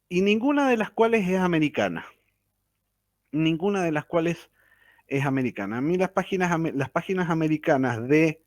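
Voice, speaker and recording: A man's voice: on the high side, no echo, studio quality. A man with a Rioplatense Spanish accent giving a lecture-style talk on mediation, repeating key phrases and checking in with "¿sí?".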